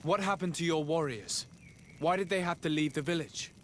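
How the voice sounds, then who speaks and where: An actor playing a composed, firm envoy in a video game, polite, formal and direct. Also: male voice, fairly high pitch, normal speed, slight background noise, natural voice. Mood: probing. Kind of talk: video game cutscene acting.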